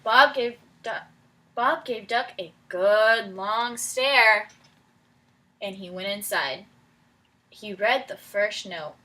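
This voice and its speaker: A woman reading a picture book aloud to children as their teacher, pausing to prompt them with questions in an encouraging way. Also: mid-range voice, bright, forceful tone. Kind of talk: read-aloud storytelling with questions to young listeners.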